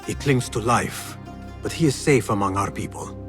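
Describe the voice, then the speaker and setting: An actor as a restrained, serious young assassin. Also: male voice, lowish voice, normal speed, a bit bright, soft background music, close to an everyday voice. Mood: somber, guarded. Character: young rebel assassin, humble, cautious, quietly resolute. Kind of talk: video game cutscene drama.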